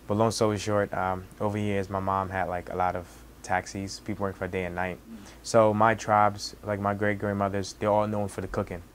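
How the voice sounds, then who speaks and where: A young man in his twenties from Senegal with a Senegalese accent, Wolof his first language, telling his story as a cook in halting speech with many restarts. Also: lowish voice, flat delivery, slight background noise. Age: 20s. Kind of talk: casual personal storytelling.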